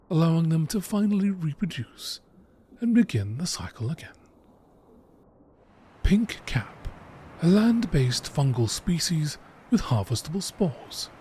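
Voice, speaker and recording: A man reading scripted nature documentary narration in a measured, expository manner. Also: high-pitched, darkish tone, breathy edge. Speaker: narrator reading game text.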